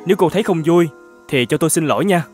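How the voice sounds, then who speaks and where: Guy doing brave young cartoon hero, kinda high male voice, earnest n reassuring, bit of music behind. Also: animated, quick pace, close to an everyday voice. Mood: tender, resolute. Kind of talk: dubbed fairy-tale cartoon dialogue.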